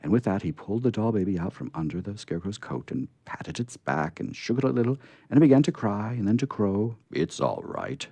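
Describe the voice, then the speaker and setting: Deep-voiced, expressive male storyteller. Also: quick pace, husky, dry recording. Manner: dramatic, expressive reading. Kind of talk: storybook read-aloud narration.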